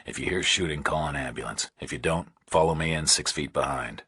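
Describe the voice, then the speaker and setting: A resolute, tough investigator in a thriller audiobook, acted by a man reading. The voice is very low and husky, kept hushed and clipped. He speaks tersely and with command, close and dry. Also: a little fast, no room sound, full character voice. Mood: terse, guarded. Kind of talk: audiobook thriller character acting.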